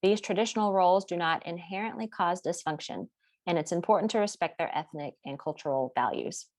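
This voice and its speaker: A woman presenting a class project in a steady, explanatory way, partly read from notes. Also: lowish voice, fairly quick, no room sound, studio quality. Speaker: student presenter.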